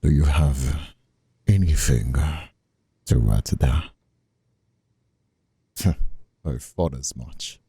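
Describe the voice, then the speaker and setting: A man playing a menacing, smug mobster brother in an audio roleplay, gravelly and husky, with mock-polite, drawn-out taunts. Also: a bass voice, warm tone, dry recording, clean studio sound, heavily acted. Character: menacing, taunting mobster brother, escaped convict and crew leader. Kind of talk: scripted audio roleplay acting, ASMR.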